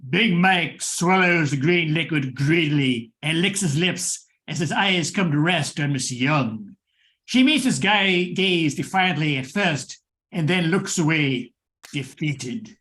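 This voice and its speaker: A man narrates a radio thriller as its host. His voice is bright, forceful and a little high. He reads the script slowly, with theatrical drama. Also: lively intonation.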